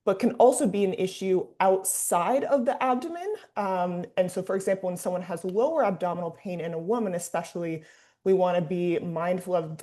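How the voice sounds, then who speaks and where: Woman, clinician, low mellow voice, thinks aloud, video call. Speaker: clinician discussant. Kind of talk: teaching discussion.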